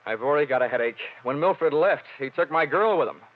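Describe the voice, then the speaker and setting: An American actor playing a wisecracking hard-boiled insurance investigator in 1940s radio detective style, with wry, clipped delivery. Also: male voice, a little high, lively intonation, fast, close to an everyday voice. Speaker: lead actor. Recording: tinny, noisy vintage radio recording.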